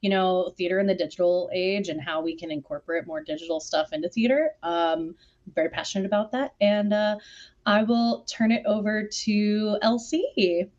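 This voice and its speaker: A woman's voice: mid-range voice, moderate pace, darkish tone. Enthusiastic woman on dull lo-fi audio. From Southern California. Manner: informal, enthusiastic. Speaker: panel guest, performer and producer. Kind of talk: casual chat on an online panel.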